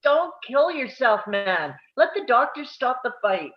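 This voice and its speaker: A man's voice: very high-pitched, big pitch swings, measured pace, full character voice. Dull-sounding, urgent boxing cornerman, acted by a man. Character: gruff, worried male boxing cornerman. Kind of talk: table read acting of a film script.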